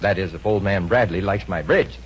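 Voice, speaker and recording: An actor playing an educated, hopeful young engineer and sweet-talking boyfriend, explaining himself earnestly in a radio comedy. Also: male voice, deep voice, fairly quick, close to an everyday voice. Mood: hopeful, eager. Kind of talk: 1950s radio comedy acting. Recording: muffled, noisy old radio recording.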